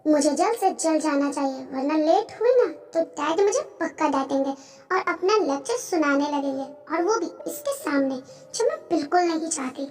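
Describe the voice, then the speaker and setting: A woman's voice: extremely high pitch, animated, moderate pace, soft background music. A woman voicing a jealous, sulky young wife in a romance story, snapping and sarcastic. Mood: worried.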